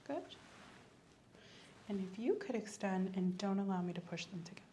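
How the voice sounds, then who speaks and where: Woman, ASMR host as gentle clinician, hushed and whispery on a close mic, faint ambient hiss. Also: mid-range voice, normal speed. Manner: soft-spoken, gentle, often whispering. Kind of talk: ASMR roleplay.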